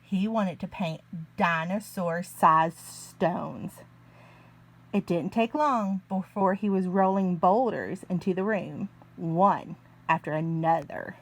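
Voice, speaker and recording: A woman reading a picture book aloud to young children in an expressive, deliberate way. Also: lowish voice. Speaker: children's story reader, early learning teacher.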